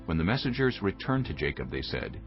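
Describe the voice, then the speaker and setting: A man's voice: low voice, big pitch swings, brisk. A man narrating a dramatized scripture reading from a script. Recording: dull lo-fi audio with music behind.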